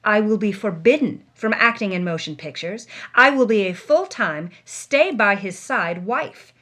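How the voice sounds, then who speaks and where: A woman's voice: medium pitch, animated, measured pace, fairly bright tone. An actress playing a hard-bitten, world-weary woman reading her journal with wry, dramatic emphasis, as first-person audio drama narration. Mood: indignant, tense.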